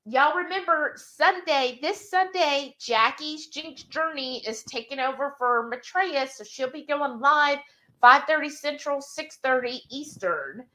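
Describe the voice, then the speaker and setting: A woman's voice: high voice, sharp tone, poor audio. A woman hosting a live cooking stream, chatting casually and reading viewer comments aloud in an encouraging tone. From Texas. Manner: chatty and encouraging, with drawn-out asides.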